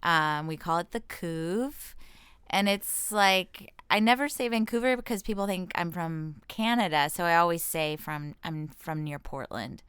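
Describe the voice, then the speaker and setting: A woman's voice: lowish voice, measured pace, fairly bright tone, clean tone, no echo, studio quality. An American woman chatting casually as an interview guest, informal and self-deprecating, thinking aloud as she goes. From Washington state, United States. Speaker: comedian and actress, interview guest. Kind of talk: casual chat.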